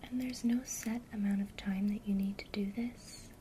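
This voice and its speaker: A woman teaching a witchcraft lesson in a soft, gentle ASMR whisper. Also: medium pitch, steady tone, normal speed, a little hiss or hum.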